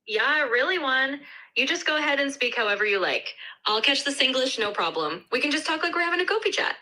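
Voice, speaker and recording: A woman's voice: high-pitched, lively intonation, brisk, sharp tone. A synthetic female voice of an AI assistant replying in friendly, polished chat. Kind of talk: friendly scripted chat replies.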